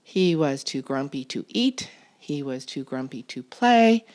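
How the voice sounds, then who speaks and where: A woman reading a picture book aloud as a storytime narrator, deliberate and expressive for young children. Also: extremely low pitch.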